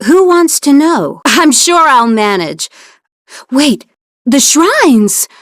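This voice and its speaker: A headstrong young elf princess warrior from a fantasy game, played by a woman, defiant and resolute with a dry wit. Her voice sits a touch high. The recording is dry and close, with no room sound. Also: sing-song, slow pace, no echo, exaggerated voice. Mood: determined. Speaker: voice actor. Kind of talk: game character voice acting.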